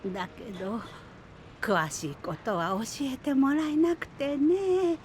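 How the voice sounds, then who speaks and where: A woman voicing a kindly old village woman in an anime voice drama, talking in a slow, grandmotherly, gently chiding way. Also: sits high, sing-song, a little hiss or hum, exaggerated voice. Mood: worried. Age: older.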